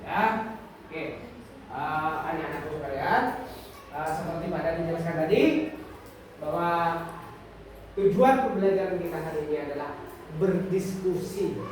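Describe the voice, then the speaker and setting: A man's voice: on the high side, normal speed, long pauses, darkish tone. Male schoolteacher in a very echoey classroom. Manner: interactive, frequently questioning the pupils. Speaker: primary school teacher. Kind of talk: classroom teaching and story read-aloud. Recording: distant, echoey, noisy classroom recording.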